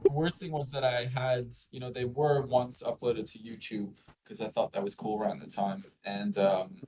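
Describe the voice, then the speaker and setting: A man's voice: mid-range voice, flat delivery, normal speed, a little mellow, slight background noise, poor audio. A man from the Netherlands, chatting as a guest and telling a self-deprecating story.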